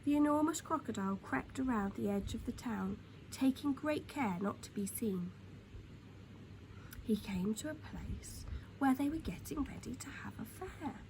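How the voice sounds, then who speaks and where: A woman narrates a children's storybook in a high, very animated voice. She is dramatic and expressive, hushing for suspense. There is clear noise behind her. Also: rough recording. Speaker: storytime reader.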